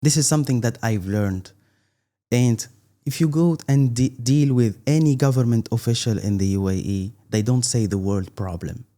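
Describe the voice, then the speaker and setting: A man's voice: mid-range voice, moderate pace, dark tone. A middle-aged man from Tunisia, a guest in an interview, talking earnestly with many restarts and an emphatic "believe me". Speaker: guest, content creator.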